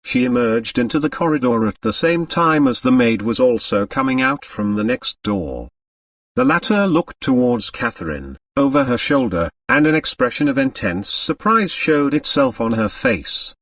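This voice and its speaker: A man reads a novel aloud as an audiobook narrator. His intonation is lively and varied, and he sounds a little way from the microphone. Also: medium pitch, moderate pace, no echo, clean studio sound, recorded from a distance.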